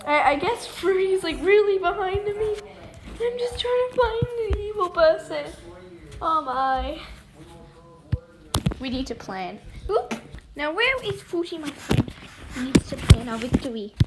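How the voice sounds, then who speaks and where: A young woman with a very high voice chats casually over a game she is playing. She is excitable and giggly, slipping into mock-dramatic roleplay delivery. The home recording has clear background noise. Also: measured pace, pauses often. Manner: talks while eating and sucking ice. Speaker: gaming video host. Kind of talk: casual playful gameplay commentary with roleplay.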